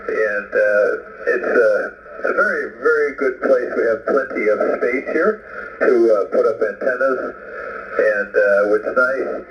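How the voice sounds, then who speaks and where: A man chatting casually as a ham radio operator over a two-way radio, halting and rambling as he goes. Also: mid-range voice. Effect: two-way radio transmission. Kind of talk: casual radio contact chat. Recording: muffled, roomy ham radio signal.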